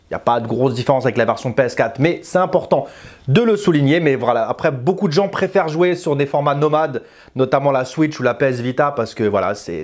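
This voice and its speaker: Guy in his 30s chatting through a game review, voice a bit high, kinda rambly. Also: darkish tone. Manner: conversational, rambling, repeats words for emphasis. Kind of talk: casual spoken video review. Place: small indoor room with bookshelves. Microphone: lapel mic.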